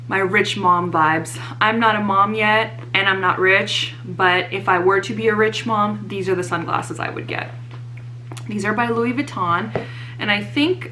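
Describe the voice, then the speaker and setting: A young woman vlogger, slightly hoarse, chatting casually and teasing herself about her purchases. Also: mid-range voice, bright, forceful tone, a little hiss or hum. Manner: chatty, self-mocking, many fillers. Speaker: fashion vlogger. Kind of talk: casual haul chat to camera.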